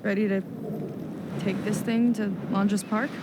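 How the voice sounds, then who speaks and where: Actress as candid young warrior woman, background rumble. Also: little pitch movement, a little slow, close to an everyday voice. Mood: practical, wary. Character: young female warrior, troubled, candid and resolute. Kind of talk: video game cutscene acting.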